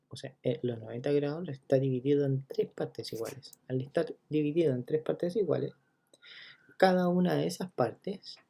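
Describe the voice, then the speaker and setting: A man teaching a geometry lesson, counting steps out loud and checking in with listeners as he goes. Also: medium pitch, creaky, husky. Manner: walks through each step, checking in with listeners; counts items out loud one by one. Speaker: math teacher.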